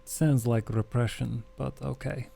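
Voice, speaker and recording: A man roleplaying a wry, calm male vampire survivor in a tabletop game, with a measured, understated delivery. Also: medium pitch, vocal fry. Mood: candid.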